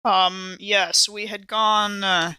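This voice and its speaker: A woman playing a wry, exasperated survivor in an improvised horror audio drama, with a halting, sardonic delivery. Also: medium pitch, little pitch movement, bright, forceful tone, smooth, no echo. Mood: uneasy, wry.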